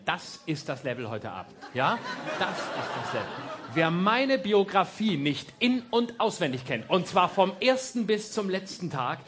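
A man's voice: a little high, noisy background. A man performing stand-up comedy on a live stage, sarcastic and teasing the audience. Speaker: stand-up comedian hosting a show.

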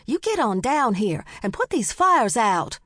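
Woman playing a fed-up, high-voiced frontier scold. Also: sing-song, unhurried, no echo, studio quality, full character voice. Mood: exasperated. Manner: stern yelling, folksy phrasing.

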